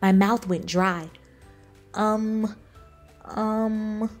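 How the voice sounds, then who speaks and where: A woman voicing an earnest, anxious cookie schoolchild in a children's storybook, with expressive, dramatic emphasis. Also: medium pitch, extremely slow, soft background music. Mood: nervous. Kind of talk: children's storybook read-aloud.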